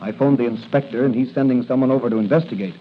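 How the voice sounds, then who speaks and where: A man's voice: medium pitch, rapid-fire, natural voice. Actor as a matter-of-fact patrolman, muffled radio. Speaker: police patrolman. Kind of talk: 1940s radio acting. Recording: muffled, hissy 1940s radio recording.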